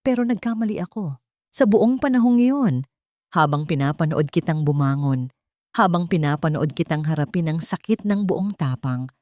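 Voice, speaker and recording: A woman voicing a devoted, tender man in a storybook romance, speaking in an earnest, emotional, measured way. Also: sits low, warm tone, no echo, studio quality. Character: devoted, tender man proposing to his beloved.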